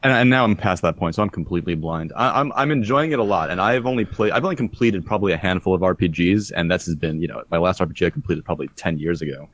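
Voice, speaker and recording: Fast-talking deep-voiced man, dry mic. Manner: quick, stammering restarts. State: raspy voice after streaming. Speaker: streamer and podcast guest. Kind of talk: casual podcast chat.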